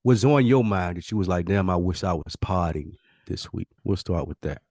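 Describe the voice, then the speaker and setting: Low-voiced American man hosting, close and dry. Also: expressive, moderate pace, gravelly, darkish tone, breathy edge, no echo. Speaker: podcast host. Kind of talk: casual chat.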